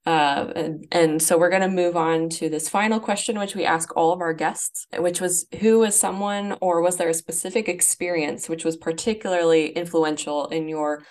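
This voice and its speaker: A woman's voice: fairly low pitch, fairly even intonation, moderate pace, few pauses, darkish tone. A woman hosting an interview podcast, putting semi-scripted questions to her guests.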